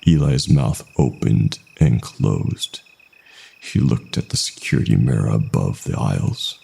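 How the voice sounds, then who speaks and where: A man with an extremely low, husky voice narrates a scary story. He speaks slowly and in hushed tones, leaving dramatic pauses. His delivery has a breathy, gravelly grain. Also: expressive. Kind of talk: scripted first-person horror narration.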